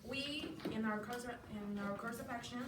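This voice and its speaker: A woman's voice: a little high, a little slow. Young mexican woman debating in class, mid voice, lots of echo and room noise. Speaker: student debater. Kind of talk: prepared argument in a classroom debate. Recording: echoey, noisy room, distant mic.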